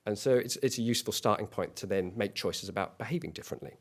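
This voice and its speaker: A man with a fairly low voice delivers a business pitch to a panel. He speaks earnestly and often stumbles into restarts and repeated words as he explains his company's work. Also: fast talker. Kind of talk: competition pitch. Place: event hall.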